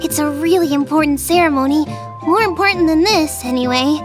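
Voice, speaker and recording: A woman's voice: sits high, fairly quick, lightly acted. A woman voicing an earnest, anxious little village child in a video game, with a light, childlike voice. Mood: worried. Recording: music behind the voice.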